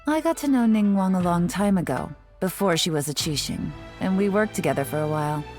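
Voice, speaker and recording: Game spymaster: low female voice, composed and shrewd, sly teasing edge, measured delivery over prominent music actress in character. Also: animated, darkish tone, close to an everyday voice. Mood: playful, assured. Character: cool, shrewd, teasing female intelligence agent. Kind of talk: scripted video game character monologue.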